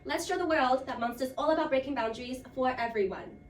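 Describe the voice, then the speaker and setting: High-pitched woman host, roomy and distant. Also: fast. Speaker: game show style host of a student role play. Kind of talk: playful scripted hosting. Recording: roomy, distant mic, loud music.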